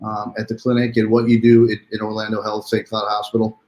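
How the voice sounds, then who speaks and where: A man's voice: fairly low pitch, completely flat delivery, brisk, darkish tone. A man hosting a live online interview in a chatty, welcoming, conversational way, with a roomy sound. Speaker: host.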